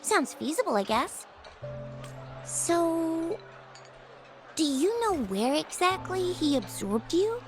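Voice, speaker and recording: A woman voicing a frank, curious young female companion in a game, casual and expressive. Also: extremely high pitch, slow, pauses often, faint music behind, exaggerated voice. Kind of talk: animated game dialogue.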